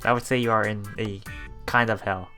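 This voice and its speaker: A man doing a let's play, reading story narration aloud with casual commentary, halting and chuckling as he goes, with game music behind him. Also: lowish voice, steady tone, bright, forceful tone. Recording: voice over game background music.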